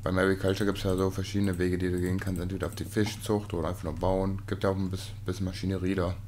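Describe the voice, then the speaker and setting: Deep-voiced laid-back gamer friend. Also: male voice, little pitch movement, quick pace, a little mellow, slight background noise. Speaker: co-player. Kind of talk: casual gaming chat.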